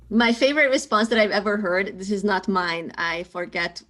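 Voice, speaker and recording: A woman's voice: mid-range voice, measured pace, a bit bright. A woman from Hungary, speaking English as a second language with a Hungarian accent, talking thoughtfully as a storyteller guest and laughing often. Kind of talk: conversational interview.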